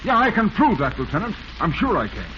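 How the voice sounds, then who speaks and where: An actor playing a nervous, cornered murder suspect in a 1940s radio drama, hesitant and stammering. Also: male voice, sits high, sing-song, brisk. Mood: anxious, eager. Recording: muffled, noisy vintage radio recording.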